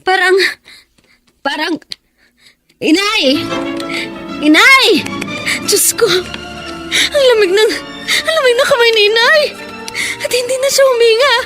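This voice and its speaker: A woman's voice: very high voice, slow pace, long pauses, over music. A woman playing a devoted, tender young daughter with emotional, pleading delivery in a Filipino radio drama. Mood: panicked, grief-stricken.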